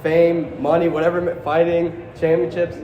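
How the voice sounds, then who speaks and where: A man's voice: on the high side, little pitch movement, measured pace, mellow, dull audio. A man interviewing a fighter as a journalist, hyped and casual, often calling him bro. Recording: echoey arena with noise.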